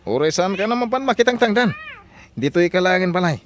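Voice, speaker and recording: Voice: mid-range voice, expressive, fairly quick, slight background noise, lightly acted. An actor playing a boastful, easygoing village husband and father with an Ilocano accent in an audio drama. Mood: boastful, upbeat.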